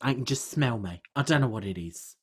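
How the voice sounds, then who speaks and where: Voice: medium pitch, expressive, a little fast, slightly rough. A podcast co-host, chatting casually and comically in a rambling way with colloquial, non-standard grammar.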